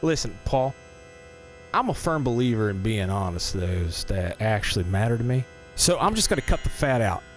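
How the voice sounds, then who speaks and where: A man's voice: fairly low pitch, very animated, moderate pace. An actor playing a folksy, genial carnival owner in an audio drama, talking in a salesman's patter. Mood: genial, persuasive. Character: folksy, smooth-talking carnival owner, a much-married man. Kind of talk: scripted audio drama acting. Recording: music behind the voice.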